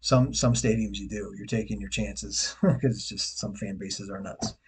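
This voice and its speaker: A man's voice: fairly low pitch, fairly even intonation, moderate pace, breathy edge. A man in his forties from Detroit, chatting casually to camera as a travel vlogger, rambling with restarts and the odd chuckle. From Detroit, USA. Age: 40s.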